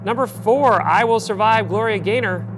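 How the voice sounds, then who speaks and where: A man's voice: high voice, normal speed, over music. A man hosting a TV talk show, introducing guests and reading a countdown list in an upbeat announcer style.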